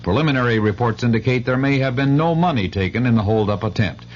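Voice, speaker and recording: A man's voice: mid-range voice, quick pace. A man reading a scripted local radio newscast with clipped announcer delivery. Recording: dull radio, faint noise and hum.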